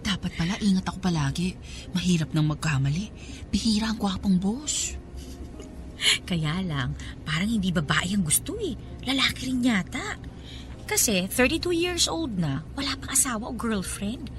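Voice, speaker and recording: An actress with a Filipino accent playing a chatty, excitable young office worker in a radio drama. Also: female voice, medium pitch, noisy background, lightly acted. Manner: gossipy, exclamatory. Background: music.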